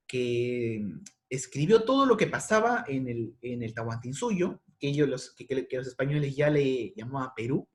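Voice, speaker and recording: A man giving a casual history lesson to secondary students, thinking aloud and rephrasing, often checking in with "¿de acuerdo?". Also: a little high. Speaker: history teacher.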